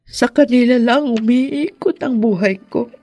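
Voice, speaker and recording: An anguished, possessive middle-aged mother in a radio drama. Her husky voice halts with remorse as she confesses, recorded close and dry over faint music. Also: a little high, mellow, no room sound. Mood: remorseful, anguished. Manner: halting, confessional, heavy with feeling.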